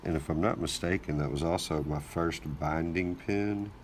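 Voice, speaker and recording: A man recovering from a cold, hosting his own channel and talking through his work in a relaxed, thinking-aloud way. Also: deep voice, moderate pace, a little hiss or hum. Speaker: lockpicking channel host. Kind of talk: casual hands-on narration.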